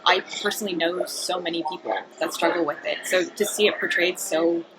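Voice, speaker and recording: A woman's voice: fairly low pitch, steady tone, fairly quick. A woman interviewer asking polite, slightly hesitant questions with a few ums and uhs. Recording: loud crowd noise behind. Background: loud crowd.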